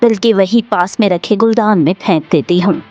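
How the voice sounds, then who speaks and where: A woman's voice: medium pitch, normal speed, mellow, dull audio, faint music behind, close to an everyday voice. A woman playing a frightened, suspicious and determined young wife in a dramatised horror story. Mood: narrating. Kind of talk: dramatised Hindi moral horror story narration.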